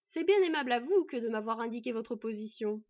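A woman's voice: on the high side, expressive, brisk, no echo. A woman voicing a brutish male bandit chief in a storybook, mocking and threatening. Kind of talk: storybook villain voice. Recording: dull, slightly distant microphone.

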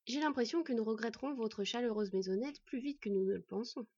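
A woman voicing a protective, sober magical she-wolf in a storybook, measured and cautious. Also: on the high side, a little mellow, dry recording. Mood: gentle. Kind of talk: storybook character voice. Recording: dull, slightly distant microphone.

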